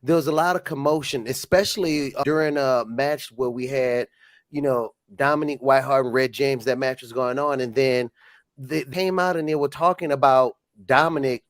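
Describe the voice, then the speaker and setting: A man's voice: medium pitch, moderate pace, no room sound. A man co-hosting a wrestling podcast as a referee, chatting casually with nervous, stammering restarts.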